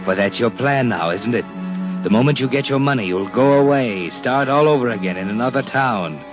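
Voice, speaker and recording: An actor playing a sinister, taunting narrator of a 1940s radio suspense drama. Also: male voice, medium pitch, a little fast, natural voice. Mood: needling. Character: sinister, taunting all-knowing male storyteller. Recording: muffled 1940s radio with loud music. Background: dramatic music.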